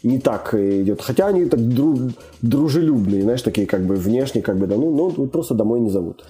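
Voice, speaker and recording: A man's voice: mid-range voice, animated, a little fast, dark tone. A young man chatting casually as a guest, rambling with many fillers and restarts. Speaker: immigrant guest. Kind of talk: casual conversation.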